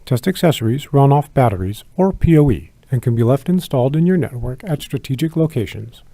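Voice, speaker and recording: Creaky-voiced man giving a product walkthrough. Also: mid-range voice, animated, brisk, dark tone, no room sound. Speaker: product demonstrator. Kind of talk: scripted product tutorial narration.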